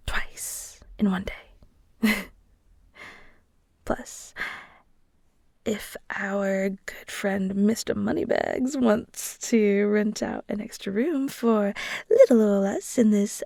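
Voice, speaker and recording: A woman playing a playful, easily flustered young best friend in an intimate audio roleplay, chatty and giggly, stammering, with breathy drops toward a near-whisper. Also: medium pitch, a little mellow, no room sound, studio quality, heavily acted. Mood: casual, upbeat. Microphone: close studio-style mic, dry.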